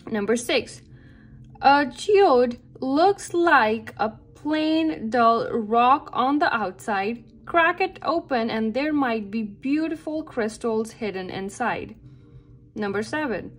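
A woman reading a nonfiction picture book aloud for children, with careful, deliberate and expressive phrasing. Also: high-pitched. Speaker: read-aloud narrator for children. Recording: background music under the voice. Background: music.

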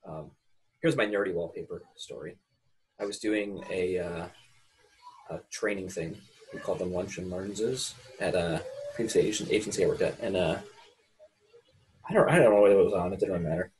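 A man in his thirties, co-hosting a podcast from home, telling stories in a lively way. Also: sits low, moderate pace, a little hiss or hum, poor audio. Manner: animated storytelling. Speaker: podcast co-host. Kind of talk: casual chat on a video call. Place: room at home.

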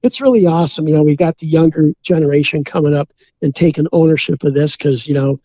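A man's voice: a little high, quick pace, dark tone, no echo, dull audio. A man from Minnesota, a beer brewer, chatting easygoingly as a podcast guest and filling his talk with "you know". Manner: rambling, easygoing, many "you know" fillers. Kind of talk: casual interview chat.

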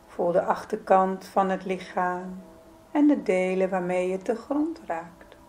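A woman leading a guided yoga nidra meditation in a soothing, gentle, unhurried manner. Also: medium pitch, soft background music. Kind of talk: guided meditation, calm spoken instruction.

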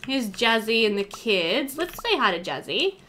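Animated young woman doing game commentary. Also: a little high, normal speed, a little hiss or hum. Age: young adult. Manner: chatty, reads game dialogue aloud playfully. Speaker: gaming video host. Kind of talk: casual let's play commentary. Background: game music.

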